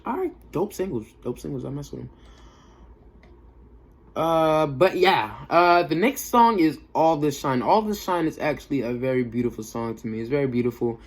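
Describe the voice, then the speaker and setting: A man's voice: on the high side, normal speed. Young man reviewing music, slangy and emphatic. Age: young adult. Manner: slangy, emphatic, repeats himself. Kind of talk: casual off-the-cuff album review.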